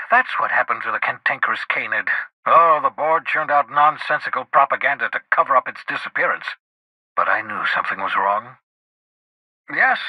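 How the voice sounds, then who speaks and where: An actor voicing an eccentric, erudite older renegade scientist in a video game, jittery and sardonic, with theatrical, wordy, precise delivery. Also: male voice, medium pitch, sharp tone, slightly rough, dry recording, heavily acted, rough recording. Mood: brisk, conspiratorial. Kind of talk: video game character dialogue.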